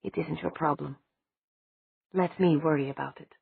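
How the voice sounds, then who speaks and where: A cold, scornful young male vampire in a storybook reading, as a woman narrator voices him. The voice sits low and husky, with clipped, bitter phrasing. It comes through a thin, phone-like recording with no room sound. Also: normal speed, no room sound, heavily acted. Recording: dry, tinny phone-like sound.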